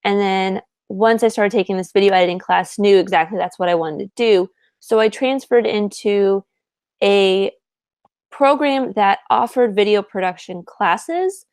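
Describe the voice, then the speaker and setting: A woman's voice: mid-range voice, darkish tone, no echo. A woman in her twenties co-hosting a podcast, chatting casually and laughing at herself. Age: 20s. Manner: chatty, self-deprecating, quick to laugh. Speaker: podcast co-host. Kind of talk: casual chat.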